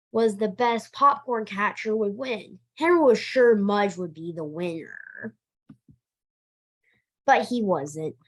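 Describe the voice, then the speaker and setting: A woman reads a picture book aloud for young children at an unhurried pace. Her pitch rises and falls freely, with emphatic, expressive phrasing and an occasional stumble over a word. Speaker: library director reading a picture book aloud. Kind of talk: animated children's storytime read-aloud.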